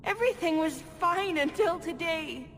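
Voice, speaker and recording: A woman's voice: extremely high pitch, animated, moderate pace, a bit bright, exaggerated voice. An actress playing a timid, naive young slave girl in a video game, innocent and pleading. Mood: fearful, naive. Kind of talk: dramatic video game voice acting. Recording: reverberant hall sound with background noise.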